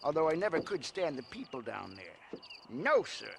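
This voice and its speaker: An actor playing a cantankerous old homesteader in a western game, grumbling curtly and suspicious of strangers. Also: male voice, fairly high pitch, big pitch swings, normal speed, a bit bright, exaggerated voice. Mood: grudging. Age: older. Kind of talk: dramatic video game acting. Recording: clear outdoor noise with crickets.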